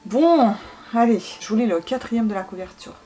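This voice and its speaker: A woman's voice: on the high side, expressive, normal speed, mellow. A young woman from Ukraine whose first language is Russian chats enthusiastically to her viewers while showing off her books at home. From Ukraine, former USSR. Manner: chatty and enthusiastic, addressing viewers directly. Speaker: book vlogger. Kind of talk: casual show-and-tell to viewers.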